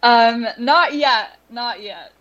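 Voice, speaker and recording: A young woman, a musician guest in an interview, speaking softly and hesitantly with many ums and likes. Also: fairly high pitch, extremely slow, highs cut off. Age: young adult. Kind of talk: conversational interview.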